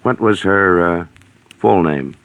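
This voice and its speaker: An American actor playing a stern, suspicious middle-aged gentleman with a blustery, accusing temper in 1940s radio mystery style. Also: low voice, slow pace, full character voice.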